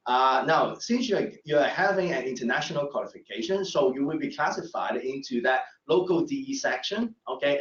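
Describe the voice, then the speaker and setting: A middle-aged man, a professor giving a live admissions webinar, speaking haltingly with many fillers and restarts. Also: mid-range voice. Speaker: professor presenting admissions talk. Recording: echoey room, distant mic, dull sound.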